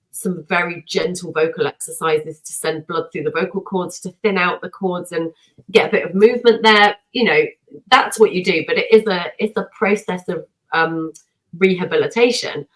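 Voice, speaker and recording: Woman on a podcast, kinda low voice, chatty and upbeat, tells stories with lots of energy. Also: poor audio. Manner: energetic, self-deprecating, storytelling. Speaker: podcast guest, singer and vocal coach. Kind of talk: casual podcast conversation.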